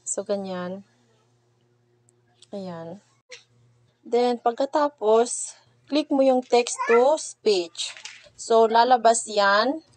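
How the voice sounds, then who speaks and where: A woman's voice: on the high side, no room sound. A young adult woman with a Filipino accent, giving a casual step-by-step tutorial voice-over and addressing viewers informally.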